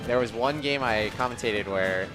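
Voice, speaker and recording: A man's voice: mid-range voice, moderate pace, over music. A man giving casual, analytical live commentary on a video game match, conversational and reacting to the plays.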